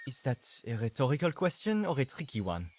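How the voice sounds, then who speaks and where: An animated, mid-pitched boyfriend voiced by a man in a video game drama. He is earnest, flattering and theatrical, sprinkling French pet names, with faint noise behind him. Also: brisk, close to an everyday voice. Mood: nervous, excited. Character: messy, romantic, nervous boyfriend, sprinkling French endearments. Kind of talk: dramatic game cutscene acting.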